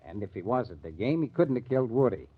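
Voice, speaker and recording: An actor playing a probing, skeptical murder investigator in an old-time radio crime drama. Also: male voice, fairly low pitch, very animated, fast, husky. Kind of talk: 1940s radio acting. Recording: tinny, narrow-band old radio recording.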